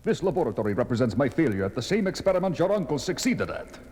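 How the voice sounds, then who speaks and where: An actor playing a courtly nobleman with hidden sinister intent, formal and theatrical in a 1970s horror audio drama, with a husky voice. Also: medium pitch, big pitch swings, fast talker, a little mellow. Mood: menacing. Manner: formal, smooth-talking, theatrical.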